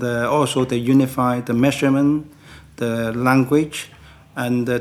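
Cantonese-accented man, halting English. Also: mid-range voice. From China. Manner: halting non-native English with many fillers. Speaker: guest. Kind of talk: interview answer.

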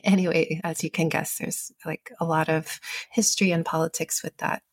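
A woman's voice: low voice, flat delivery, normal speed, slightly rough, no echo. A woman history professor, a guest in an interview, speaking thoughtfully with frequent laughs and restarts. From Kentucky and Hawaii. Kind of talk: conversational interview.

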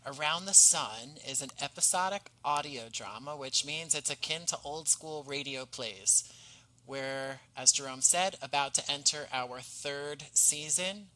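A man speaking as an interview guest, articulate, measured and courteous in conversation. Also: a little high, sharp tone, low-quality recording. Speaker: podcast guest, playwright and writer.

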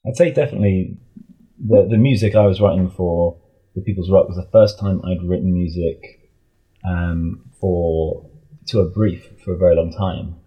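A man's voice: low-pitched, fairly even intonation, moderate pace, slightly rough, lo-fi sound. A man talking casually as an interview guest, a composer speaking about his creative work.

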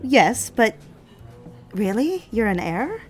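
A woman's voice: a little high, sing-song, slow, faint music behind. A woman acting a poised, witty young single lady in a period romantic audio drama, coy, teasing and inquisitive. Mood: incredulous. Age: young adult.

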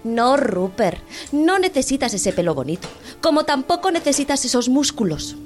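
High female voice, actress as weary scolding wife, sarcastic, worried, audio drama, faint music. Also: sing-song, normal speed, a little mellow. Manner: reproachful, sarcastic. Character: weary, sharp-tongued working-class wife, scolding and worried.

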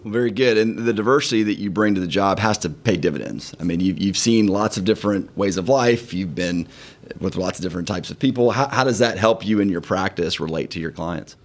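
Upbeat lower-voiced male podcast host. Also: brisk. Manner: upbeat, friendly, sincere. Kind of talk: casual podcast chat. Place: law firm conference room.

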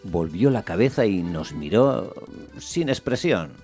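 An actor narrating a radio play as a measured storyteller, in a deliberate, literary, descriptive way. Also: male voice, low-pitched, expressive, a little mellow, soft background music. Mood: wry, measured. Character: measured male storyteller, wryly observing a small dog.

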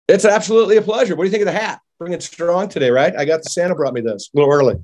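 A man's voice: high-pitched, racing, darkish tone. A man hosting a casual interview podcast, enthusiastic and jokey, rambling as he welcomes his guest. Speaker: podcast host.

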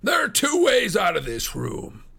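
A man's voice: medium pitch, very animated, normal speed, gravelly, bright, forceful tone, husky, exaggerated voice. A man voicing a sturdy old farmer in a storybook, a fiercely protective father who speaks with sardonic defiance. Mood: furious, sardonic.

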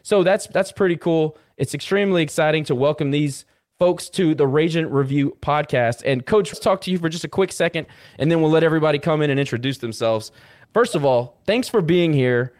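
A man's voice: on the high side, fairly quick, darkish tone, no room sound, clean studio sound. A man hosting a podcast in an informal, enthusiastic, conversational interviewing style. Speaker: podcast host.

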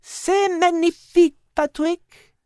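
A woman's voice: very high voice, fairly even intonation, slow, no echo, studio quality, pitched above the performer's own voice. A woman voicing a wise, polite female cat who speaks with a French accent, reading a storybook. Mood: warm. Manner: French-accented, gently teaching.

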